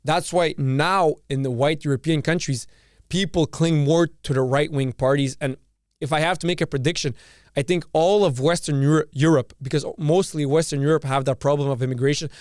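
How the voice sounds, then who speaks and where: A man's voice: a little high, fairly even intonation, a little fast, darkish tone, clean tone, no room sound, studio quality. Blunt, profane man ranting solo. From Serbia. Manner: blunt and profane, repeats words and restarts. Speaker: podcast host. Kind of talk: opinionated solo monologue.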